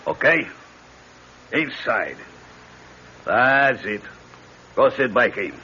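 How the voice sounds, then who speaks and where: An actor playing a menacing, cruelly amused hijacker boss who barks orders and taunts, in an old radio thriller. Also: male voice, medium pitch, expressive, extremely slow, sharp tone, breathy edge, full character voice. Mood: commanding. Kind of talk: vintage radio thriller acting. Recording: dull lo-fi radio recording, faint noise.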